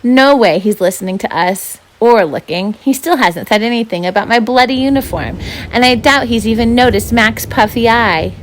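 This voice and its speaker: A woman's voice: on the high side, normal speed, poor audio. Woman reading a children's novel aloud. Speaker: volunteer story reader. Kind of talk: expressive children's novel narration.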